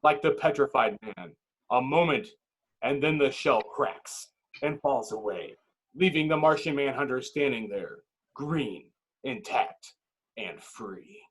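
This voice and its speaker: A man's voice: medium pitch, lively intonation, measured pace, a bit bright, slightly rough, poor audio. A man reading stage directions as narrator at a table read, in dramatic, clipped phrases.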